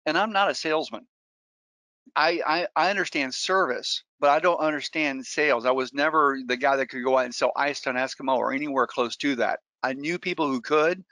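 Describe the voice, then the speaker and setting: A man's voice: medium pitch, fairly quick, bright, forceful tone, no room sound. A middle-aged man, a real estate investor, giving an earnest webinar talk and telling stories with frequent restarts. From Colombia. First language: Spanish. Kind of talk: webinar presentation.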